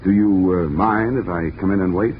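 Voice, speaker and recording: A man's voice: deep voice, fairly quick, slight background noise, lightly acted. A man acting a young wisecracking insurance investigator who narrates a radio drama with dry, wry humor. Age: young adult. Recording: dull old radio broadcast with music.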